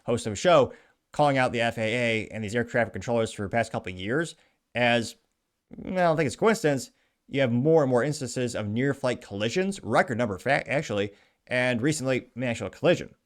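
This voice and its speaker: A man's voice: mid-range voice, expressive, brisk. Chatty male show host reading posts. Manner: reads posts aloud, chatty asides. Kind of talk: casual news commentary.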